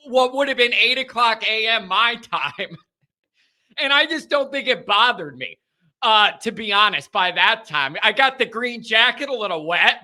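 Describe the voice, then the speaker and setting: A man hosting a sports talk show, chatting casually and energetically while his voice sounds strained. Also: very high voice. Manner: energetic, chatty, loud openers. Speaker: sports podcast host.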